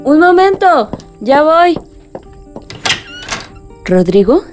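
A woman's voice: high-pitched, very animated, drawn-out words, darkish tone, faint music behind, exaggerated voice. An actress playing an independent, affectionate woman in love in a radio soap, with a Mexican accent. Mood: welcoming. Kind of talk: radio soap opera acting.

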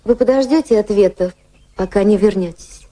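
An actress playing a poised, gently teasing widow of about thirty in a Russian radio drama, speaking in a measured, reflective way. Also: female voice, medium pitch, natural voice. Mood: coy, hesitant. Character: poised thirty-year-old widow of English high society, gently teasing. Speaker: actress and narrator in radio play.

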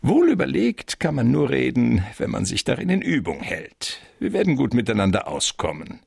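An actor playing a jovial, shrewd fat crime boss in a radio drama, pompous, wordy and patronizing. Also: male voice, mid-range voice, huge pitch swings, normal speed, gravelly, darkish tone, breathy edge, dry recording, clean studio sound, heavily acted. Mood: amused, lecturing.

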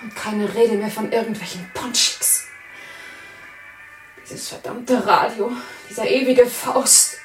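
An actress playing a dry, sceptical, restless new bride in a German radio play, wry and brusque. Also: female voice, a little high, takes it slowly. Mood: impatient. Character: newly married woman, dry, sceptical, restless. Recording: roomy, faint music behind.

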